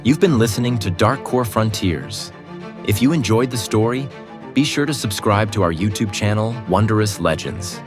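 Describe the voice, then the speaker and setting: Low-voiced man narrating over music. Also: expressive, moderate pace. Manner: dramatic storytelling. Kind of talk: scripted audio drama narration.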